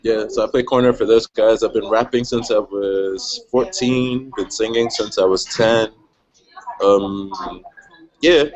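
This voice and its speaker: A man's voice: fairly low pitch, steady tone, a little slow, highs cut off. Laid-back young man, slangy and chatty. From Switzerland. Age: young adult. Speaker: guest rapper. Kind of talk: casual chat.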